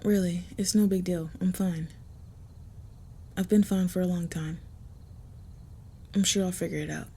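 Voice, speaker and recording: A woman playing a guarded, gentle, possessive vampire in an intimate roleplay audio, soft and hushed, with a hesitant, measured delivery. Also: on the low side, fairly even intonation. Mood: guarded, reassuring. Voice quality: soft, husky, breathy. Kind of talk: intimate roleplay audio with first-person narration.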